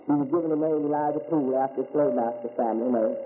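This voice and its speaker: High-voiced American man lecturing on faith. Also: very fast. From United States. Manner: rhetorical questions, repeats key words. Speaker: Islamic teacher. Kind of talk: religious lecture. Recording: tinny phone-like recording with faint hiss.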